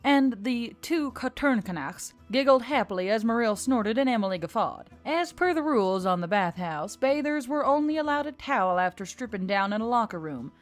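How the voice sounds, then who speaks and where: A woman's voice: a little high, expressive, moderate pace, hardly any pauses. A woman reading scripted fiction as an audiobook narrator. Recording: music behind the voice. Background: music.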